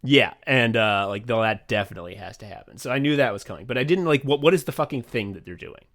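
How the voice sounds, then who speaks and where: A young man chatting casually as a podcast co-host, rambling excitedly and swearing often as he walks through his own thinking. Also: medium pitch, fast talker. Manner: rambling, profane, excitable, acts out his own thought process. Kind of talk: casual behind-the-scenes chat.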